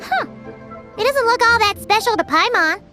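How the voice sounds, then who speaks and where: A very high, squeaky little fairy companion from a video game. The childlike voice swoops freely and is blunt and cheeky. Game music plays clearly behind it. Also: female voice, lively intonation, moderate pace, sharp tone, heavily acted. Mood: unimpressed. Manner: speaks of self in third person.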